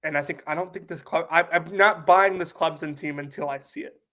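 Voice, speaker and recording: Sick young dude on a sports pod, kinda high voice, says like a lot. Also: male voice, fairly even intonation, brisk, sharp tone. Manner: lots of "like" fillers, chatty. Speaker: podcast co-host. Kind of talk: casual sports podcast chat.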